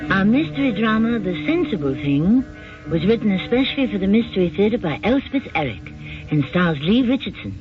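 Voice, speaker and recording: A man hosting a radio mystery anthology, reading a scripted introduction in a theatrical, portentous, measured manner. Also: sits high, big pitch swings, loud music behind the voice. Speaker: radio drama host. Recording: muffled old radio broadcast recording.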